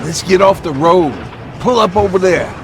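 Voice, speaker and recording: A man's voice: sits high, moderate pace, gravelly. A man voicing a practical, level-headed leader of survivors in a video game. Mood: composed. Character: steady, practical male leader of survivor band. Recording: vehicle and outdoor noise behind.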